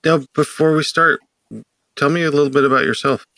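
A man's voice: fairly high pitch, steady tone, fairly quick, pushed and bright, dry recording. A man hosting a podcast, curious and conversational as he introduces the episode and asks questions. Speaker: podcast host.

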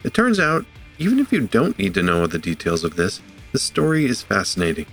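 Male podcast host, low voice, animated delivery, conversational, faint background music. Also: brisk. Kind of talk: podcast intro and interview.

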